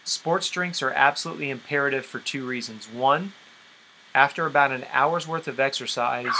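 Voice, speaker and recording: A man's voice: mid-range voice, normal speed. A man coaching a group over a conference call, explaining step by step and often checking in with an okay. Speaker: fitness and nutrition coach. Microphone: conference call line. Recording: noisy conference call recording.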